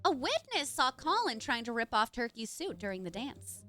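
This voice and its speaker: A woman's voice: on the high side, big pitch swings, normal speed, pushed and bright. A woman reading the lines of an eager young woman sidekick sleuth, playful and dramatic, over faint music. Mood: conspiratorial. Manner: reads dialogue aloud, playful dramatic.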